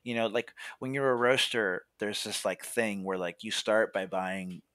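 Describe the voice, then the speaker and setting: Youngish american guy, lowish voice, chatty podcast storytelling, very dry close sound. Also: fairly even intonation, unhurried, a bit bright, dry recording. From Monrovia, California. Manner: chatty, peppers talk with "like" and "you know". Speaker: podcast guest, coffee roaster. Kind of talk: casual conversational storytelling.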